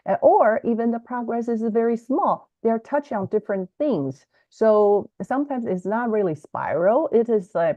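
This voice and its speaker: Woman, Chinese native, educator guest; lively, explanatory, self-correcting delivery; dry, slightly muffled call sound. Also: mid-range voice, no room sound. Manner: animated, self-correcting, teacherly. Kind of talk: explanatory interview talk. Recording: dry, slightly dull lo-fi audio.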